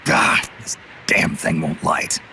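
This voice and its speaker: An actor playing a gruff, world-weary soldier of fortune in a game cutscene, with a terse, guarded, raspy delivery. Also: male voice, slow, heavy background noise, natural voice. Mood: suspicious, gruff. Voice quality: gruff, gravelly rasp. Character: gruff, world-weary legendary mercenary commander. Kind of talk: video game cutscene voice acting.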